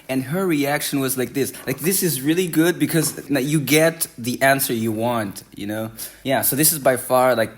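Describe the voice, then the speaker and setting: A man in his twenties from Rwanda, talking casually in an interview with many fillers and loose, rambling answers. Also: mid-range voice. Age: 20s. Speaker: exchange participant.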